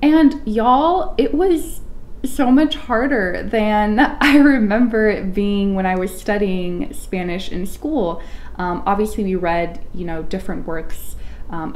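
A woman's voice: medium pitch, a little slow, slight background noise. A young woman in her twenties chatting to camera about a novel, with many ums and you knows. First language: English. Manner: chatty, frequent "um" and "you know", animated hand gestures. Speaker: book vlogger. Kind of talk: casual book review to camera. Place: small room at home, indoors.

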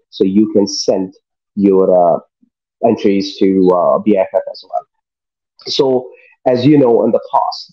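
A man's voice: lowish voice, dull audio. A middle-aged man hosting a talk show over a video call from his living room, enthusiastic and informal. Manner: enthusiastic, loose informal phrasing. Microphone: video call webcam.

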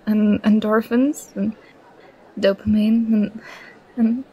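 A woman's voice: medium pitch, fairly even intonation, breathy edge, lo-fi sound. A young woman playing a nerdy, affectionate girlfriend who gets flustered and bashful, in an ASMR roleplay. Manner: bashful, halting, playfully protesting.

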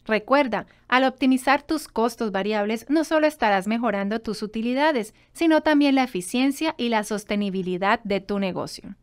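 A woman in her thirties giving a scripted instructional presentation, addressing the viewer directly in a clearly structured way. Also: a little high, moderate pace, few pauses. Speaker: presenter of business advice videos. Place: indoor studio in front of a backdrop.

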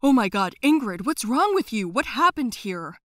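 A woman playing an alarmed wealthy father who speaks loud and urgent while taking charge. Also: high-pitched, animated, a little slow, no echo, clean studio sound, pitched above the performer's own voice.

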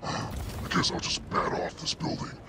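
A man voicing a cocky, dim, foul-mouthed vigilante hero in a gravelly growl for an amateur comedy game dub. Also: a little slow, natural voice. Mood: deadpan. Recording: music behind the voice.

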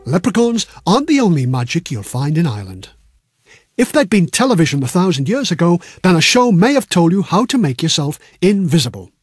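A man's voice: on the high side, very animated, brisk, breathy edge, dry recording, soft background music. A man reading a scripted comic history audiobook in a theatrical, wry storytelling manner. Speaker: audiobook reader.

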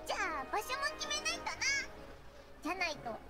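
A woman's voice: wildly swooping pitch, fast, full character voice. A woman voicing a small, childlike floating fairy in a Japanese anime game, brash and boyish, with exclamatory speech. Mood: excited. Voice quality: squeaky, childlike. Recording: game music behind.

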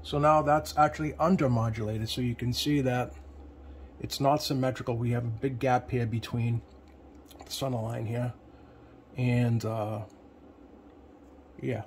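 A man giving a casual hands-on tutorial as a repair technician, plain-spoken and often pausing mid-sentence. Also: mid-range voice. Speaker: CB radio repair technician presenting. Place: indoor electronics workbench. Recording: clear background noise, home workbench room.

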